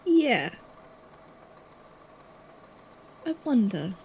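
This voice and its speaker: A woman playing a guarded, thoughtful investigator in an audio drama, speaking haltingly. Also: on the high side, big pitch swings, darkish tone, a little hiss or hum. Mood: evasive, distracted. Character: guarded, puzzled investigator from a supernatural archive.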